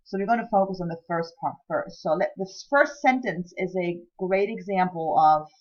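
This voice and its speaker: A woman's voice: lowish voice, moderate pace. German-native woman lecturing, lo-fi. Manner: chatty, many ums, self-deprecating asides. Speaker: writing instructor. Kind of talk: recorded lecture with slides.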